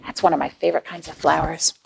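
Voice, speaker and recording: A woman with a creaky voice hosting storytime for young children, reading aloud and chatting to them in simple explanations and questions. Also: low-pitched, fairly even intonation, fast, slight background noise. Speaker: children's storytime host at a library.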